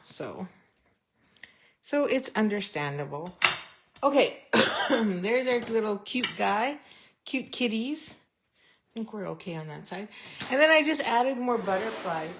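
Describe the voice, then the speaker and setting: A woman chatting casually through a card-stamping tutorial, thinking aloud and poking fun at herself, her voice going a bit hoarse. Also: lowish voice. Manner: chatty, self-deprecating, thinks aloud while working. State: losing her voice, clearing throat. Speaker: stamping craft demonstrator. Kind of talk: casual craft tutorial chat.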